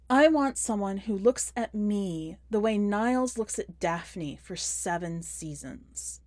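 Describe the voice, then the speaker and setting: A woman reading a first-person short story in a measured, expressive audiobook style. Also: mid-range voice, no echo, studio quality. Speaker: podcast host and fiction reader.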